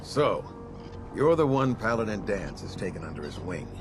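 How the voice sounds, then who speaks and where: An actor playing a stern, condescending military airship captain in a video game, clipped, formal and disdainful. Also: male voice, on the low side, big pitch swings, moderate pace, over music.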